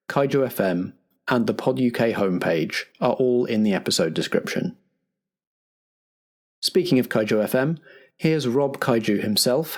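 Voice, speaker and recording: A man with a medium-pitched voice presents a podcast. He reads scripted announcements in a theatrical compere's manner, drawing out certain words. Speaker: podcast host and game master.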